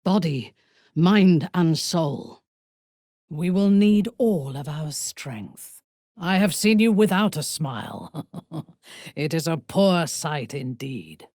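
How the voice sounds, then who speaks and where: A woman playing a proud, ruthless dark elf noblewoman in a video game, speaking formally and deliberately with a sardonic, coolly menacing manner. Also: low-pitched, expressive, no room sound, clean studio sound, heavily acted. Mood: grave, intense, then wry, cool. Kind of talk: video game character voice acting.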